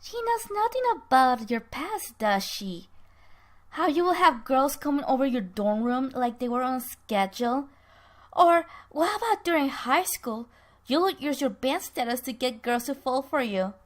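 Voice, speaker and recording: A woman's voice: high voice, big pitch swings, a little slow. A woman playing a defensive married man in a story, earnest and emphatic as he protests to an old flame.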